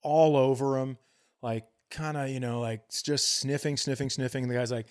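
A man's voice: mid-range voice, fairly even intonation, moderate pace, darkish tone. A man co-hosting a comedy podcast, telling stories casually and bluntly, swearing and acting out the exchanges he quotes. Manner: foul-mouthed, blunt, acts out quoted exchanges.

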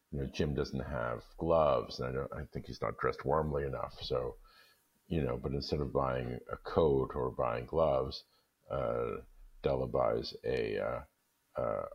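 Very deep, gravelly man, halting delivery. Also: muffled recording. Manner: halting, hesitant, repeating words as he thinks. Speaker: guest story reader in discussion. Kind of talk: casual conversation.

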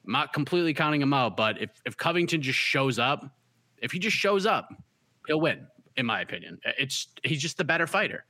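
A man's voice: medium pitch, big pitch swings, brisk, pushed and bright, dry recording. A man with a Boston accent hosting a casual fight-prediction chat, confident and teasing. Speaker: podcast host.

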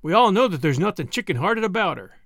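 A man voicing a loyal young soldier who talks firmly and earnestly to his mess. His voice runs high and lively in a dry, close sound. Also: fast talker, no echo, exaggerated voice. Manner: firm, earnest.

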